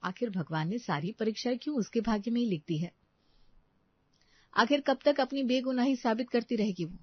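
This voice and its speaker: A woman's voice: fairly high pitch, animated, brisk, breathy edge, dry recording. A woman storyteller delivers scripted dramatic narration. Her voice is recorded dry, with a slightly dull, lo-fi sound.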